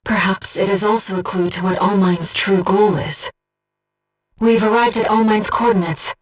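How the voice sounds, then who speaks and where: Casting: actress for a disembodied female mission guide in a mech game; soft, breathy, measured, earnest and devoted, medium pitch, slightly distant sound. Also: fairly even intonation, natural voice. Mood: composed, focused. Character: calm, devoted disembodied female voice in a pilot's head. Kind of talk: video game voice acting, tactical briefings.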